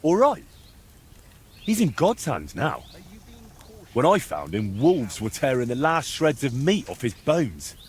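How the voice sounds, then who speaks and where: An actor playing a calm young man in a medieval game, questioning people in a measured, dryly mocking, insistent way. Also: mid-range voice, slight background noise. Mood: dry, composed. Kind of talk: video game dialogue acting.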